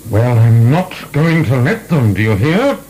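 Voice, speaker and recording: Wildly swooping, imperious imprisoned marquis. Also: male voice, medium pitch, very animated, a little slow. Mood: stifled, defiant. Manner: theatrical, grandiose, chuckling, swinging into shouts. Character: imprisoned French marquis, ranting, mocking, obscene, imperious libertine. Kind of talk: radio drama acting. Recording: echoey stone-room radio drama.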